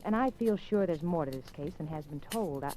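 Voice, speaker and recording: An actress playing an earnest, caring young newspaper reporter, sincere and pleading, in 1940s radio style. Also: female voice, low-pitched, expressive, fairly quick, dark tone. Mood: pleading, hesitant. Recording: noisy old radio recording, music behind.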